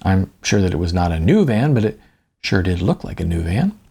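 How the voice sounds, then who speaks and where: A middle-aged man reads a first-person story for an audiobook. His voice is on the low side and husky, with plenty of fry at the edges. The delivery is wry and storytelling in manner. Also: very animated, brisk, mellow. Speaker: author reading own audiobook.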